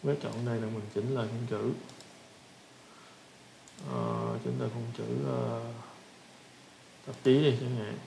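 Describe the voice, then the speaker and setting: A man with a Southern Vietnamese accent giving a casual software tutorial, often repeating short phrases as he demonstrates. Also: medium pitch, sing-song, unhurried, gravelly, long pauses. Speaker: tutorial instructor. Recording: roomy echo with background noise.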